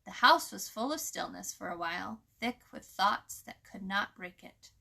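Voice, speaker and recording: A woman reads a story aloud for children. Her voice sits at a middling pitch with a very bright, clear-edged tone, and her narration is expressive. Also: normal speed.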